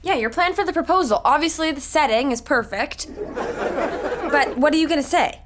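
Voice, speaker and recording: A woman's voice: high voice, expressive, moderate pace, music in the background. A woman playing a frantic, bossy young woman in a sitcom, urgent and exasperated. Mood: pushy.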